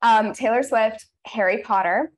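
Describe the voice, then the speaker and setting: Young woman guest, bubbly and chatty, video-call sound. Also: fairly high pitch. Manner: bubbly, upbeat, quick to laugh. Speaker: voice actress guest. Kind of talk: casual video-call interview. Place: home room in front of blue backdrop. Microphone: webcam video call.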